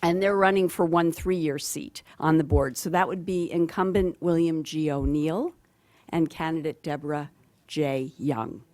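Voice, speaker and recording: Woman moderator, lowish voice, friendly but a bit hesitant, lots of ums, table mic. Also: fairly even intonation, a little slow, darkish tone. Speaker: forum moderator. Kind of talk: announcing procedures at a public forum. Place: public candidates forum in a meeting hall. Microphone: table microphone.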